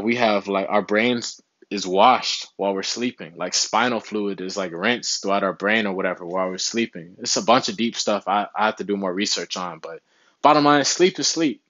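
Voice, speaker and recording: A man's voice: fairly low pitch, a bit bright, no room sound, lo-fi sound. A young man giving casual motivational health advice to camera in a small room, laid-back and often saying "you know what I'm saying". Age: young adult. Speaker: vlogger. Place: small indoor room with window behind.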